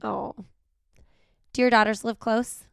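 Raspy-voiced woman podcast host. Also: a little high, steady tone, a little slow, a bit bright, no room sound. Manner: chatty, laughs often, many fillers. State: recovering from a cold. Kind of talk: casual interview chat.

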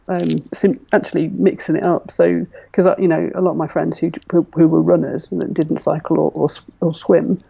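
Woman, forties, gravelly and flat-toned, muffled lo-fi line; relaxed interview guest who thinks aloud. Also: deep voice, fairly quick, few pauses. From Britain. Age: 40s. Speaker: guest athlete. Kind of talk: casual conversational interview.

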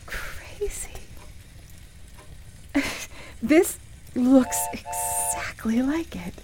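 A wonderstruck, nostalgic woman played by an actress in a radio play, speaking in a fairly high voice. She takes her time, halting and hesitant as she marvels at a magical shop. There is faint noise behind her. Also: big pitch swings, mellow, full character voice, rough recording. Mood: stunned disbelief.

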